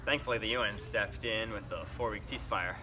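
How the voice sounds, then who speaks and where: A man's voice: on the low side, a little fast, lightly acted. Man playing a hesitant, nervous war witness. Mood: anxious recollection. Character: nervous male Israeli citizen remembering wartime fear. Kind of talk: scripted interview.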